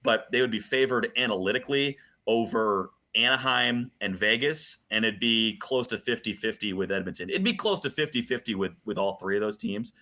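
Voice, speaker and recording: A man's voice: fairly low pitch, brisk, few pauses, pushed and bright. A man working as a hockey broadcaster, giving sports analysis as a guest and repeating phrases for emphasis. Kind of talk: animated sports talk, analysis.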